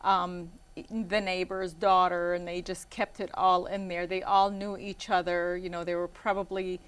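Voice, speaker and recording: A woman's voice: fairly low pitch, little pitch movement, normal speed, clean tone. A woman in her forties, born in Iraq and raised in Michigan, is a guest on an interview show. She talks casually and rambles, with frequent ums and you-knows.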